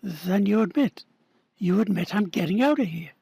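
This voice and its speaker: Husky man playing an earnest, pleading man. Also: high voice, animated, normal speed, mellow, no room sound, full character voice. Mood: pleading, indignant. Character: Tandy, earnest desperate man pleading for his life.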